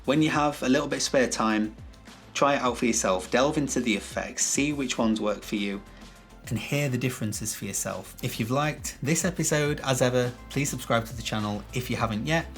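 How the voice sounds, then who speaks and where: A man with a bassy voice, explaining a video-editing tutorial to camera in a chatty, self-deprecating way, recorded on a shotgun mic in a small room. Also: moderate pace, faint music behind. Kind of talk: casual tutorial talk to camera. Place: small room with some echo. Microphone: shotgun microphone.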